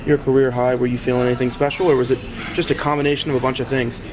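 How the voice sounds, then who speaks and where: A man's voice: medium pitch, little pitch movement, racing. A man working as a sports reporter, putting casual questions in a postgame interview. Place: gym press area, indoors. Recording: crowd noise behind.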